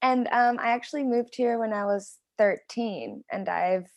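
A woman's voice: fairly high pitch, unhurried, vocal fry. A woman in her twenties from Venezuela, a podcast guest who chats casually with shy giggles and hesitant fillers. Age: 20s. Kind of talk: casual interview chat.

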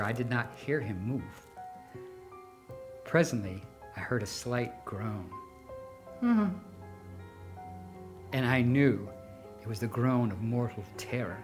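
A nervous, obsessive madman in a gothic horror tale, insisting that he is sane. The delivery is intense and theatrical, with halting phrases and a pitch that swings widely. Music plays behind the voice. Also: fairly bright tone. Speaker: dramatic reader narrating a horror story.

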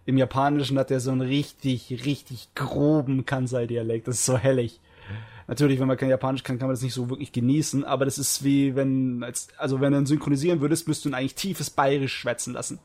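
A man's voice: medium pitch, flat delivery, quick pace, a little mellow. A man with a mid-range voice talks casually among others. He sounds like a podcast host, using loose, colloquial language with a jokey manner. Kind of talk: casual group chat.

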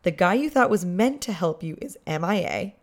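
A woman with a slightly low voice narrates a podcast in a dry, sardonic tone. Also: lively intonation, moderate pace.

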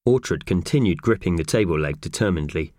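A man's voice: low-pitched, expressive, quick pace, darkish tone, dry recording, clean studio sound. A man reading scripted narration for a children's audiobook.

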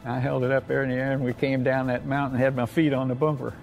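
An elderly American man recalls his service as a veteran. His voice is gravelly and mid-pitched, with a plainspoken, folksy delivery that circles back on phrases. Music plays behind him. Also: level tone, fast talker, rough recording. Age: 80s or older. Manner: plainspoken, folksy, repeats himself. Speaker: war veteran. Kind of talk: oral history reminiscence.

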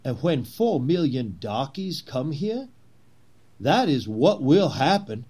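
Man playing a fervent, swooping, husky plot leader. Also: medium pitch, very animated, darkish tone, exaggerated voice. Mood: rousing. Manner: dramatic oratory, pleading, emphatic pauses. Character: fervent, theatrical Confederate plot leader, persuasive and grandiose, 1860s. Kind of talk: period stage drama acting.

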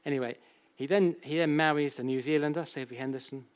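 A man's voice: fairly high pitch, fairly even intonation. A British man giving an academic lecture in a conversational, explanatory way, often repeating and correcting himself and posing rhetorical questions. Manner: halting, self-correcting, with frequent repetitions. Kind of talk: public lecture, explanatory and anecdotal.

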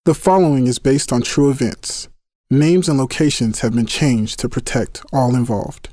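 A man's voice: mid-range voice, moderate pace, warm tone, dry recording, clean studio sound. A man reading a scripted disclaimer as an announcer.

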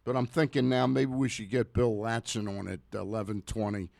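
Gravelly American man hosting sports talk. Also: medium pitch, fairly quick, a little mellow, no room sound. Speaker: sports talk show host.

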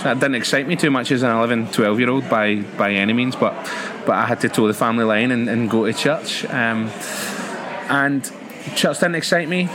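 Glaswegian man over clear cafe noise. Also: medium pitch. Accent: Glaswegian Scottish. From Glasgow, Scotland. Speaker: associate pastor, podcast guest. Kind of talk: conversational personal testimony. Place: coffee house. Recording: noisy coffee house ambience. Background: cafe background noise.

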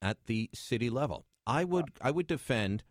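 A man's voice: mid-range voice, animated, normal speed, no room sound, studio quality. A man hosting a call-in radio talk show, arguing his points with a pointed, skeptical manner. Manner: argumentative, pointed.

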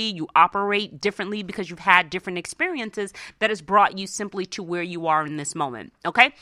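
A woman's voice: mid-range voice, dry recording, studio quality. A woman hosting a radio show, coaching listeners in a conversational, emphatic way, often checking in with them and acting out imagined exchanges. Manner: animated, emphatic, acts out imagined dialogue; punctuates points with check-in questions. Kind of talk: motivational talk to listeners, conversational.

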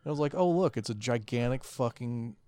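A man's voice: mid-range voice, animated, a little fast, darkish tone, no room sound. A man co-hosting a podcast in casual chat, rambling and swearing freely as he goes. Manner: rambling, profane. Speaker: podcast co-host.

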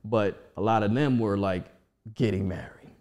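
A man's voice: fairly low pitch, normal speed, darkish tone. A man in his forties talking casually and reflectively as a guest, with frequent restarts and fillers. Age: 40s. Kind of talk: casual conversation.

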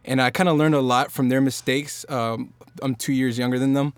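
A man's voice: medium pitch, a little fast, slight background noise. A man from Norfolk, Virginia, a singer-songwriter guest talking casually on a podcast, loose and rambling with lots of fillers. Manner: relaxed, rambling with many fillers and restarts.

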